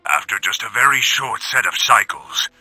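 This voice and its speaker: An actor playing a pompous robot villain delivering grandiose, declamatory propaganda in a video game. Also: male voice, fairly low pitch, expressive, moderate pace, bright, forceful tone, slightly rough, dry recording, faint music behind, exaggerated voice, poor audio. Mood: scornful, triumphant. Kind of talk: dramatic video game audio log.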